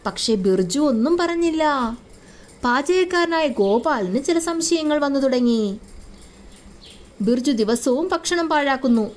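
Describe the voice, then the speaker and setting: Lady reading a scripted kids bedtime story, quite high voice. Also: expressive, a little slow, long pauses, a little mellow. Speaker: narrator. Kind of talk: scripted children's story narration.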